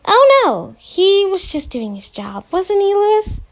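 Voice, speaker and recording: A woman reads a wry teenage girl with a dry, teasing way of talking that tips into fluster when she slips up. Her voice runs high and lively, slow and deliberate in its timing. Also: heavily acted.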